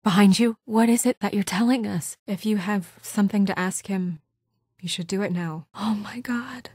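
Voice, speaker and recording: A woman playing a composed but anxious, dutiful young office administrator in a naturalistic video game drama, speaking in a measured, guarded, earnest way. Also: medium pitch, a little mellow, husky, dry recording, studio quality, close to an everyday voice. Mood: urgent, pleading, then urgent whisper.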